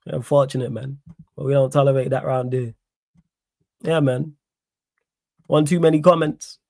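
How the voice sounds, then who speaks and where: A man's voice: medium pitch, flat delivery, moderate pace, creaky, warm tone, dry recording. A young man with a Manchester accent hosting a live stream, reading chat aloud and talking back indignantly, repeating himself for emphasis. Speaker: livestream host.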